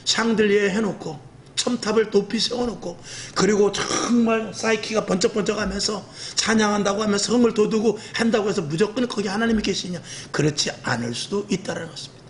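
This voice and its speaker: A middle-aged male pastor with a rough, earthy voice gives a lively evening sermon, acting out quoted speech with self-mocking humor. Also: high-pitched, fairly quick, a little hiss or hum.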